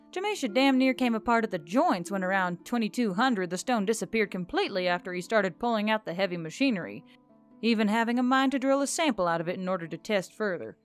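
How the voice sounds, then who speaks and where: Woman, story narrator, mid-pitched, scripted fiction reading, faint music underneath. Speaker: audiobook narrator.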